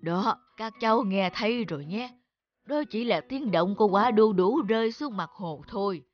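A woman voicing a calm, wise grown-up elephant who speaks to youngsters in a measured, reassuring way in a children's cartoon. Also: medium pitch, lo-fi sound, close to an everyday voice. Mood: gently instructive.

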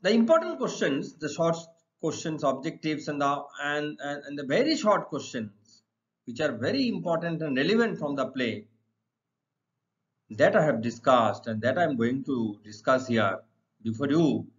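A middle-aged man with an Indian accent, teaching English to students in deliberate, emphatic, repetitive phrases. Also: on the high side, big pitch swings. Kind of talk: exam lesson explained to students. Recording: dull, lo-fi sound.

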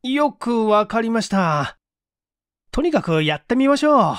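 Man playing an anxious, high-pitched young male engineer. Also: sing-song, unhurried, a bit bright, no room sound, clean studio sound, heavily acted. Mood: reluctant. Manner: polite, apologetic, hesitant.

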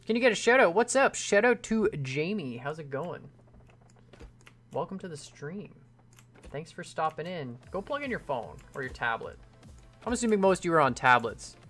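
A man's voice: fairly high pitch, big pitch swings, normal speed, fairly bright tone, soft background music. A young man streaming a game live from his home computer, chatting and rambling as he answers viewers. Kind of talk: casual live stream chat while building in a game.

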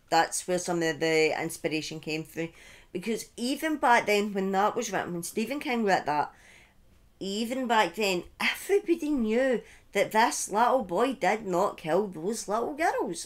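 A middle-aged woman telling a true-crime story informally on a livestream, speaking deliberately with pauses and emphatic repetition, close to a desk microphone. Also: medium pitch. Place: small indoor room at home. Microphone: close desk microphone on a boom arm.

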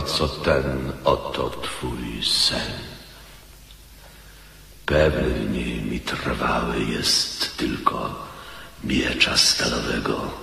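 An arrogant mercenary knight in a radio drama, with an extremely deep, forceful voice. He declaims with cold, commanding scorn, ringing through a long hall reverb. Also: creaky, heavily acted. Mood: cold authority. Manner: haughty, commanding, theatrical declamation.

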